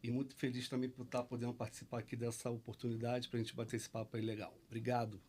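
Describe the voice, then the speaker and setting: A man's voice: mid-range voice, little pitch movement, fast talker, mellow, a little hiss or hum. A man, a guest on a tech panel, introducing himself in a friendly, polite way.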